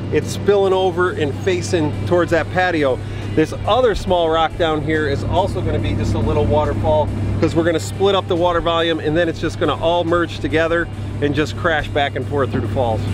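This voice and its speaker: A man's voice: fairly high pitch, steady tone, a little fast, over music. A man in his fifties plainly explains the work at an outdoor job site to a camera a few feet away. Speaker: helper on the build crew. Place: outdoors at a backyard construction site.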